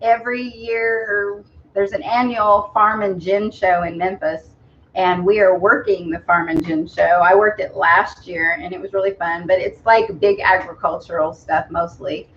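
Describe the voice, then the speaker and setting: A woman's voice: fairly low pitch, fairly even intonation, a little slow, highs cut off, a little hiss or hum. A woman with a mixed Southern US accent, not from the South herself, chatting easily as co-host of a home livestream and laughing often. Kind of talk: casual chat.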